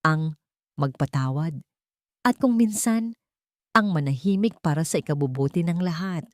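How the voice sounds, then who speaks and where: A woman's voice: low voice, animated, moderate pace, a little mellow, no echo, clean studio sound. A woman playing Eleanor, a cold, controlling rich matriarch. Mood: cold warning.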